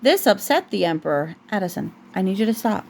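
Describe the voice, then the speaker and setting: A woman's voice: mid-range voice, sing-song, brisk, vocal fry, darkish tone, slight background noise. A woman reading a storybook aloud as a mother to her young child, often breaking off to correct the child. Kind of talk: storybook read aloud at home, with asides to a child.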